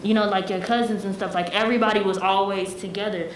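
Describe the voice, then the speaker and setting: A woman's voice: mid-range voice, steady tone, fast. A young American woman speaking conversationally on a town hall panel, explaining her points with repeated phrases. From United States. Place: echoey room at a town hall forum. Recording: echoey room, faint noise.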